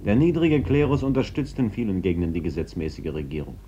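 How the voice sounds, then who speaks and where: An actor playing a gentle, troubled pacifist priest in a radio play, speaking in an earnest, measured, conciliatory way. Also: male voice, deep voice, big pitch swings. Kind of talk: German radio play acting. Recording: dull, noisy old radio recording.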